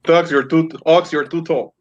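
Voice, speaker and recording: A man's voice: on the high side, fairly even intonation, normal speed, dull audio, slight background noise. A man in a casual group chat who tells stories at an easy pace and often breaks into laughs. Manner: laid-back, laughs often, storytelling.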